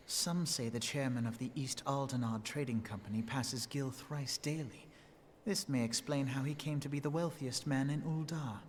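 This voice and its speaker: A man playing a wry, knowing observer of court intrigue in a video game, in a measured delivery. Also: mid-range voice, steady tone, natural voice. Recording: light background noise.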